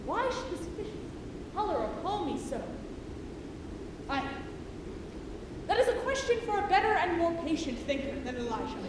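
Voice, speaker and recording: A woman on stage playing an eccentric sailor who lectures in declamatory, old-fashioned literary language with dramatic pauses. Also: very high-pitched, sing-song, noisy background. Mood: awed, unsettled. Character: Elijah, eccentric theatrical sailor-lecturer musing over whales. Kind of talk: theatrical stage monologue. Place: large theatre auditorium, live stage performance. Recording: echoey auditorium from far off, music behind. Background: loud music.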